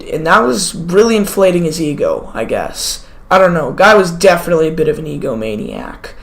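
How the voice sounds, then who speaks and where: A sick teenager sniffles through a casual storytime monologue. The talk wanders, with asides, self-corrections and offhand remarks. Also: mid-range voice. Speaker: vlogger telling a personal story.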